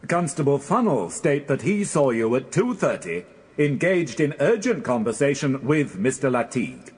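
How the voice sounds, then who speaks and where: A stern male British barrister in a courtroom radio play. The voice is husky and very animated in pitch, yet formal and insistent. He presses each question deliberately. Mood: accusing.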